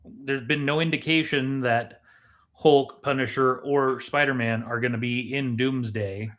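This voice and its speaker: A man with a medium-pitched voice discusses things casually and analytically as a podcast co-host. His manner is deliberate and questioning as he works through his reasoning. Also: fairly even intonation, fairly bright tone.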